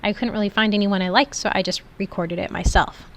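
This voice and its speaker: A woman's voice: mid-range voice, steady tone, quick pace, a bit bright. A woman instructor giving an informal, step-by-step screen tutorial in an explanatory way. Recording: clearly noisy background.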